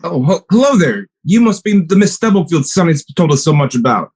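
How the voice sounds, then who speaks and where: A brash war-veteran buddy voiced by an actor, cocky and teasing, his voice riding a little above the usual male pitch. He swings widely up and down as he flirts and ribs his pal. The delivery is pure 1940s radio melodrama. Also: very animated, a little fast, a little mellow, slightly rough, heavily acted. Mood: flirty.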